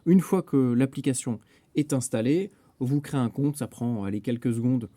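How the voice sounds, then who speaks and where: A man giving a casual software tutorial as a network administrator, explaining step by step with some hesitation. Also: medium pitch, normal speed, no echo.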